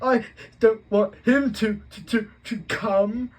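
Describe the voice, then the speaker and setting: A man's voice: sits high, steady tone, slow pace, a little hiss or hum, pitched above the performer's own voice. A man reading an audiobook voices a spoiled bully of a boy who whines and stammers through fake sobs. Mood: whining. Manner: whiny, stammering.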